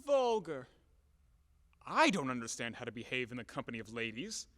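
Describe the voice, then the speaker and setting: An actor playing a blustering, hot-tempered boor in an audio play, loud and declamatory, scornful and bombastic. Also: male voice, mid-range voice, sing-song, moderate pace. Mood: mocking. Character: blustering, hot-tempered, boorish man demanding repayment, cynical about women. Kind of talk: theatrical audio play acting. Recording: echoey hall-like reverb.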